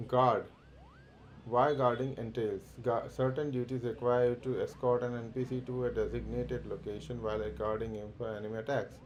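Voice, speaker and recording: A man streaming a game, reading the quest text aloud haltingly and muttering "okay" between lines. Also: medium pitch, flat delivery, muffled recording. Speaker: livestream gamer. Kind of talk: casual let's-play commentary. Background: faint game music.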